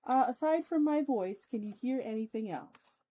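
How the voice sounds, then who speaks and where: A woman hosting a livestream, chatting casually with her viewers in a playful way, with chatty asides and drawn-out emphasis. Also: fairly high pitch, animated. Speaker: VTuber streamer host. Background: soft ambient music.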